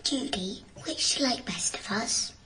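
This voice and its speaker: An actress playing a wistful, devoted young boy in a radio drama, speaking in a hushed, pleading way. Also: a little high, measured pace, whispered, distant mic, rough recording.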